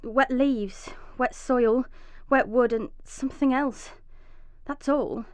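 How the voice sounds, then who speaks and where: A devoted but worried young wife in a radio drama. Her voice is high and emotional, recorded very dry. She speaks as a mother who fears for her family and herself. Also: fairly even intonation, slow pace, dry recording. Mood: frightened, tense narration. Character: anxious loving young wife and mother, pleading then frightened. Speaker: actress and narrator in a radio drama. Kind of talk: radio drama acting, dramatic first-person narration.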